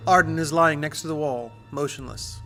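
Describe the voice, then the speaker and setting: A man's voice: fairly high pitch, moderate pace, gravelly, over music. A man reading scripted narration as the narrator of an audio drama.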